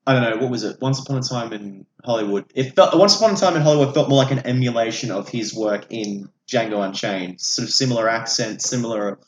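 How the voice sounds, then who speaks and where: A man's voice: mid-range voice, fast talker, hardly any pauses. Echoey, sweary man chatting. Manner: sweary, opinionated. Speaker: podcast host. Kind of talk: casual film discussion. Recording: echoey room, audible background noise.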